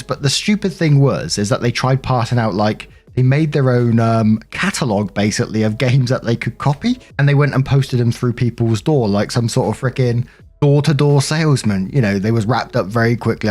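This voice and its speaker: A man's voice: on the low side, darkish tone. A British man narrating online stories aloud in an informal, colloquial way, as a host with casual commentary.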